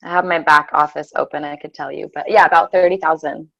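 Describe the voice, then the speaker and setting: A woman's voice: deep voice, fairly even intonation, quick pace, vocal fry, a bit bright, highs cut off. A woman in her twenties, chatting casually and laughing easily as a guest. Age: 20s.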